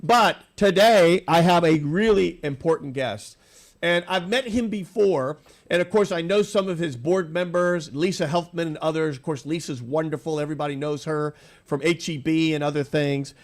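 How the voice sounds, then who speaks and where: A man's voice: on the high side, normal speed. A man hosting a radio talk show, a bit nasal from allergies, asking long rambling questions in a casual, upbeat way. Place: radio studio.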